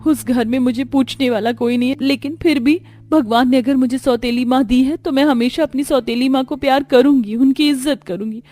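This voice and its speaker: A woman voicing a gentle, emotional young daughter-in-law in a cartoon story, sweet and earnest. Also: high voice, steady tone, fairly quick, few pauses, pitched above the performer's own voice. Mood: tearful, resolute.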